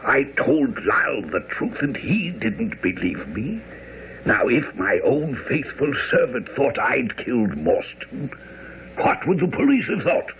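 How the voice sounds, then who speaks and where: Frantic dying old man, creaky voice. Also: mid-range voice, very animated, slow pace, crowd noise. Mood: urgent, weakening. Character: frantic dying old father confessing a secret. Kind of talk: radio drama acting. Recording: muffled, faintly noisy old radio broadcast.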